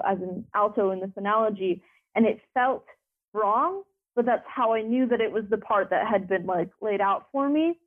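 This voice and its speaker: A young woman speaking as an interview guest, rambling with many filler words and restarts. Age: young adult. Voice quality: deeper voice. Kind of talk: conversational interview. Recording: phone line.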